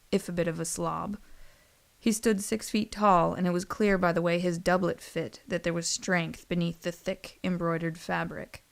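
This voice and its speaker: Low, creaky woman narrator. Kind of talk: scripted story narration.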